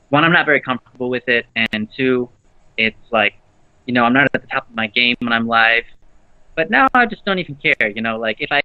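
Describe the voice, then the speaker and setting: A man co-hosting a livestream, chatting casually with lots of fillers and restarts about a naturally low voice. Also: no echo, muffled recording. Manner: rambling, with many fillers and restarts. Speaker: livestream co-host.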